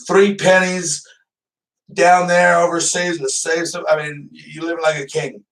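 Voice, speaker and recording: A man's voice: fairly high pitch, little pitch movement, unhurried, fairly bright tone, far from the mic, poor audio. A man hosting a live stream, chatting casually and rambling loosely, swearing now and then. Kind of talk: casual livestream chat.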